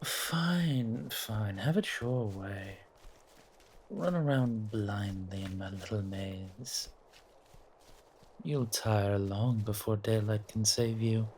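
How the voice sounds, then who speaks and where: A man voicing a playful, possessive forest monster in a horror audio roleplay, teasing with drawn-out menace in a husky, breathy voice. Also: lowish voice, a little mellow, a little hiss or hum. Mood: cold threat. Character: taunting male forest monster hunting its prey, possessive, playful.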